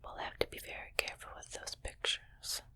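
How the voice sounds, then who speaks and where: A woman playing a scheming, patronizing rich alien in an ASMR roleplay, speaking in a breathy, coaxing whisper. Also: lightly acted. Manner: slow, patronizing, softly coaxing. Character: condescending, scheming rich female alien keeping a human pet.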